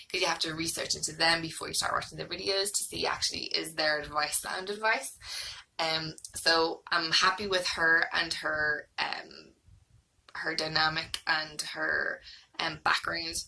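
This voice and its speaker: A woman's voice: low voice, vocal fry, sharp tone, slight background noise, rough recording. An Irish woman in her thirties giving a casual, hesitant, rambling review, like a homeschooling mom vlogging.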